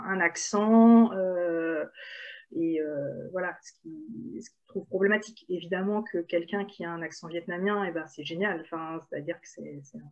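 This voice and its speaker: A woman's voice: fairly low pitch, moderate pace, a little mellow, lo-fi sound. A woman speaking as a guest on an online panel, hesitant with many fillers and restarts. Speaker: stage author and director, panel guest.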